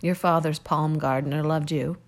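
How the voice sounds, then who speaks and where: A woman reading aloud, voicing a proud, defiant young male hero-king who declaims loudly. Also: low-pitched, fairly even intonation, moderate pace. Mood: scornful.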